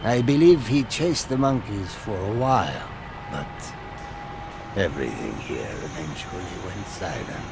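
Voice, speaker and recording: A man playing a gentle, serene hermit monk in a video game cutscene, speaking in a measured, formal, musing way. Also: low voice, lively intonation, creaky, background noise, full character voice. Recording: loud music behind the voice.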